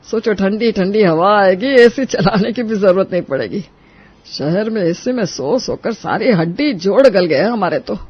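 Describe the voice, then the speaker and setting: Very high-voiced stubborn old father-in-law acted by a man. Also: animated, moderate pace, breathy edge, dull audio. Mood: cheerful, bossy. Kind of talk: animated Hindi moral story dialogue.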